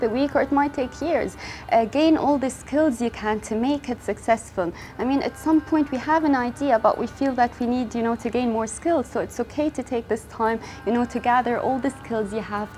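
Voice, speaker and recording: A woman's voice: a little high, darkish tone. Earnest young woman, faint music behind. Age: young adult. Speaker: fashion brand founder. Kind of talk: interview monologue.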